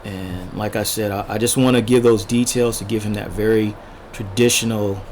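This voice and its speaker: A man casually narrating a drawing tutorial as he sketches, thinking aloud in short unhurried fragments, his voice a little worn from talking. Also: lowish voice, steady tone, a little mellow, slight background noise. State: losing his voice, throat-clearing. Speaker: art tutorial host, illustrator.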